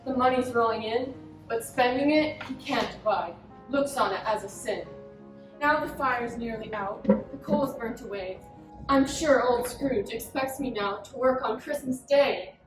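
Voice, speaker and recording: A woman's voice: a little high, unhurried, natural voice. A woman playing a downtrodden but hopeful young clerk, earnestly reciting rhyming pantomime verse. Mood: weary complaint. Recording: echoey, distant stage recording over music.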